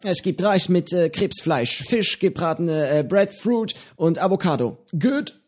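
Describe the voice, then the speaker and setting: A man's voice: sits high, animated, unhurried, voice pushed up. A man voicing an eccentric, cheerful old male pirate who lives on an island, talking easygoingly with small fillers. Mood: jovial. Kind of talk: audiobook character voice.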